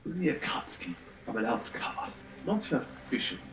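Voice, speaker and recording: A man voicing a secretive, scheming outcast ninja in a cartoon parody, hushed and conspiratorial. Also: mid-range voice, sing-song, moderate pace, reverberant, recorded from a distance, close to an everyday voice, poor audio.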